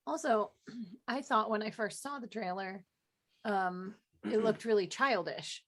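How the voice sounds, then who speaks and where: A woman's voice: medium pitch, unhurried, fairly bright tone. A woman chatting informally as a panelist on a fan talk show. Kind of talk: casual chat.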